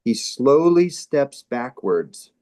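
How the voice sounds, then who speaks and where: A man's voice: medium pitch, sing-song, takes it slowly, darkish tone. A man hosting a comedy table read, narrating stage directions and chatting casually. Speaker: comedy show host and script narrator.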